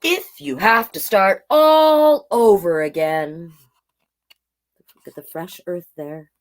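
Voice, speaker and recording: Woman, children's storytime host, slow pace, very lively intonation, playful, encouraging, talks straight to the kids. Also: lowish voice. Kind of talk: animated picture-book read-aloud with chatty asides to young viewers.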